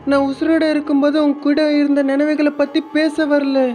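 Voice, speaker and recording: A vengeful little ghost bird from a cartoon, played by a man, pitched high above a normal voice. It speaks bluntly and with menace, with music playing behind. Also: completely flat delivery, normal speed, darkish tone. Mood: menacing. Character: vengeful dead sparrow turned menacing ghost.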